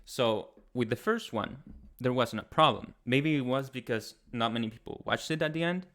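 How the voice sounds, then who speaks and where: A young man from Chile with a Chilean Spanish accent, hosting a casual livestream and answering chat in a soft, hesitant way with many pauses. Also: medium pitch. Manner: soft-spoken, hesitant, many ums and restarts. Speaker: ASMR channel host. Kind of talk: casual livestream chat, reading viewer comments.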